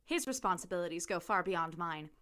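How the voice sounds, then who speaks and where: Woman acting formal, curt, deadpan lab colleague. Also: lowish voice, fairly quick. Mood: dry. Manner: clipped, deadpan, precise. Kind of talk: audio drama acting.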